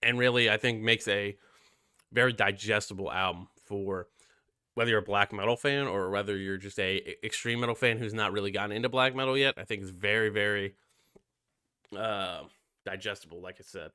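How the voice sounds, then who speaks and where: A man's voice: lowish voice, fairly quick, sharp tone, clear, resonant tone, dry recording, clean studio sound. Stuffy-nosed man rambling about albums. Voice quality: nasal. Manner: rambling, many fillers and swearing. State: sick and stuffy. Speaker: music reviewer. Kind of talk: casual album review.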